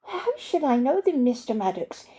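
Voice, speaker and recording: A woman voicing a young housemaid in a story reading, humble and earnest yet quietly stubborn. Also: high voice, big pitch swings, moderate pace, pitched above the performer's own voice. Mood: questioning.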